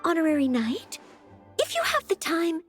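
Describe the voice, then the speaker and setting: A shy earnest young knight maid, hesitant. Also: female voice, very high voice, sing-song, normal speed, fairly bright tone, soft background music, exaggerated voice. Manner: polite, hesitant.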